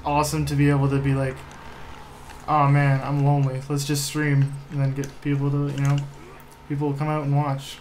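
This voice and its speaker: Young guy streamer just chatting, kinda flat and self deprecating, noisy mic w typing. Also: medium pitch, brisk. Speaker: live streamer, host. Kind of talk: casual chat while game streaming. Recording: noisy room, typing in background.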